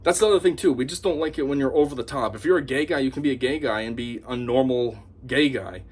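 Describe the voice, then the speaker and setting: A man giving an opinionated monologue to camera as a commentator, talking in a scornful, animated way. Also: medium pitch, quick pace, husky. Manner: animated, scornful, mocks opponents in put-on voices. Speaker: YouTube commentator.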